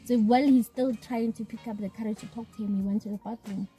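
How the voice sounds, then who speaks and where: A soft-spoken woman from South Africa chats casually, often restarting her sentences. Her voice is slightly high and mellow. Faint music plays behind her. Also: fairly even intonation, fast. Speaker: author guest. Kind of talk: casual chat.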